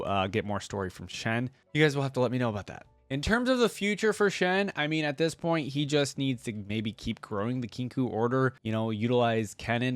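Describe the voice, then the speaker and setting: A man's voice: medium pitch, clean tone, no room sound. A man giving casual, informal commentary to viewers as a reaction host, rambling and thinking aloud. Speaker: gaming reaction YouTuber, host.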